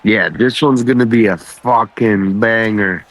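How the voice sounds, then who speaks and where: A man's voice: fairly low pitch, measured pace, low-quality recording. A man giving casual color commentary on video game fights, laid-back and full of swearing. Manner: laid-back and heavily profane.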